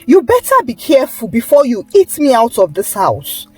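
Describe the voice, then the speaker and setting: A woman's voice: fairly high pitch, wildly swooping pitch, normal speed, a little mellow, husky, full character voice. A woman voicing a stern, exasperated auntie in a folktale, scolding her greedy niece. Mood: amused warning. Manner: scolding, suspicious, interjections like "oh" and "sha".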